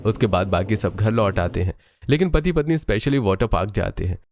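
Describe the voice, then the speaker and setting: A man's voice: on the low side, animated, moderate pace, muffled recording, soft background music. A man narrating a scripted family cartoon story.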